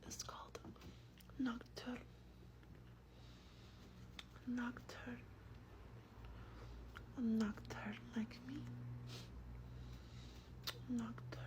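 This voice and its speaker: A woman playing an affectionate vampire in a soft-spoken ASMR roleplay, with a breathy whisper and a tender, unhurried, intimate manner. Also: fairly high pitch. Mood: gentle, intimate. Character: affectionate female vampire soothing her human darling.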